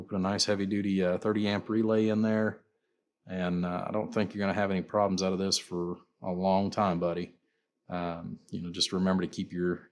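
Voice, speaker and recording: Very low-pitched man giving an informal demonstration. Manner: rambling, repeats "you know" and "like I said". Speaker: amplifier builder and seller presenting his work.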